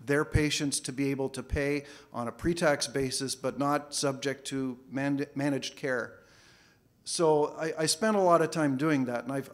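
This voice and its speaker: A man giving a conference talk in a relaxed, casual way, often repeating words as he goes. English is not his first language. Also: a little high. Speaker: conference speaker, financial planner. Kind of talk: conference presentation. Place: conference hall.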